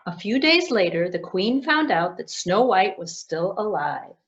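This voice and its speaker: A woman librarian narrating a fairy tale for children in an expressive storytelling manner. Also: medium pitch, measured pace. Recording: dull lo-fi recording.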